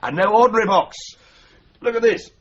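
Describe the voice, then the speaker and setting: A wry teasing law clerk. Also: male voice, high-pitched, fairly quick. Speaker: solicitor's clerk. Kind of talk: radio drama acting. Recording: dull lo-fi radio play recording.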